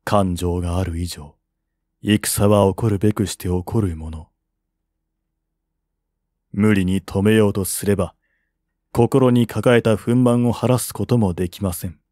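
Grave strategist of a fallen kingdom played by a male reader, low, measured and formal, historical audiobook. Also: fairly even intonation, mellow, no room sound, clean studio sound, natural voice. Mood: calm, grave.

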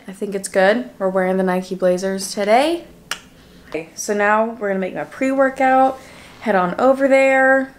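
A young woman vlogger chatting casually to a handheld camera in her bedroom and car, drawn-out, with frequent "like" and some whispered asides. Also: on the high side, slight background noise. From Texas, US. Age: young adult. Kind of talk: casual vlog chat to camera. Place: bedroom at home, then car. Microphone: camera at arm's length.

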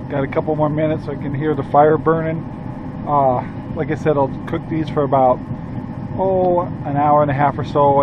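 A man's voice: a little high, lo-fi sound, background noise. Folksy older man rambling about cooking. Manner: folksy, rambling, many "uh"s, chuckles. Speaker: cooking channel host. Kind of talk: casual cooking vlog. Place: outdoor yard beside a grill, and indoors in a cluttered room.

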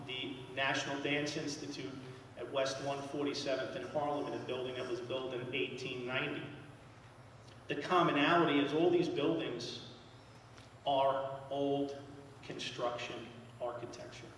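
A man, giving a loud, plain-spoken talk as an engineer at a town hall podium. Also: medium pitch, fairly even intonation, normal speed, a little hiss or hum. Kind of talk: public presentation at a podium. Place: large school auditorium. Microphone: podium microphone. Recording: echoey hall, heard from far off.

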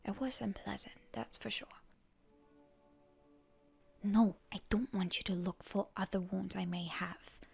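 A woman playing a proud, prickly, wounded hero girl in a whispered ASMR roleplay, suspicious and defiant toward her enemy, with a hushed, breathy voice. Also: mid-range voice, fairly even intonation, unhurried, long pauses, soft background music. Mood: prickly, stubborn.

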